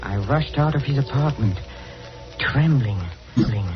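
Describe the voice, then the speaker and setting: An actor playing a tormented, guilt-ridden killer in melodramatic 1940s radio horror, halting and repeating himself. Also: male voice, mid-range voice, lively intonation, faint music behind, natural voice. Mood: haunted, desperate. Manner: melodramatic, halting, stammering repetitions. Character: tormented, ugly, clumsy man, guilt-ridden killer, near hysteria. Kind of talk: 1940s radio horror acting, first-person narration and dialogue. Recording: muffled, noisy vintage radio recording.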